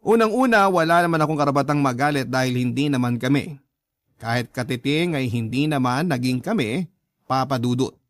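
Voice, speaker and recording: A man's voice: medium pitch, brisk, no echo, studio quality. A man giving scripted first-person dramatic narration, reading out a love story as a letter sender would tell it.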